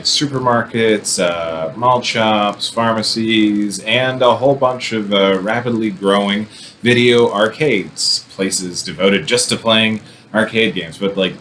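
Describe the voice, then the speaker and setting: A man's voice: on the low side, fairly bright tone, slight background noise. A man hosting a movie review show in a casual, conversational way, with wry and irreverent asides. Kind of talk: casual, conversational film commentary.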